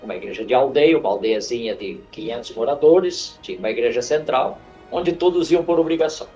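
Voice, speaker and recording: An older man from northern Portugal, a church elder, recalling his life slowly with restarts in a studio interview. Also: mid-range voice, dark tone, muffled recording, soft background music. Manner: halting, reflective storyteller with frequent restarts. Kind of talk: unscripted interview. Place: podcast studio.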